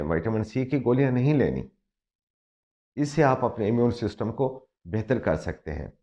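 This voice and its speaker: A man's voice: lowish voice, darkish tone. A male doctor with a slightly sore throat gives earnest, explanatory health advice, dropping into English for emphasis. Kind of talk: health advice talk to camera. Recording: muffled lo-fi recording.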